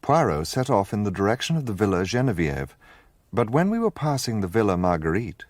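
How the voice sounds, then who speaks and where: An actor playing an earnest gentleman, the loyal companion who narrates a detective radio drama. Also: lowish voice, very animated, fairly quick, no echo. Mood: measured, suspenseful.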